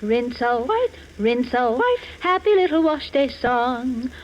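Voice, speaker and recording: A woman's voice: high voice, sing-song, slow pace, a little hiss or hum. A woman singing an advertising jingle in 1940s radio style. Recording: dull vintage radio recording.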